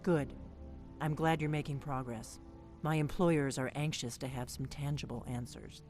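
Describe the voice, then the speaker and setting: A woman's voice: extremely low pitch, fairly even intonation, normal speed, a little mellow. A secretive female agent in a fantasy game. She sounds serious, curt and businesslike, with faint music in the background. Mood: impatient. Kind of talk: fantasy video game acting.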